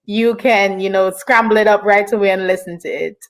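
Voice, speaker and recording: A woman with a medium-pitched voice hosts an informal online interview. She is encouraging and affirming, moving between chatty responses and reading out audience questions. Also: fairly even intonation, brisk. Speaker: online show host, interviewer.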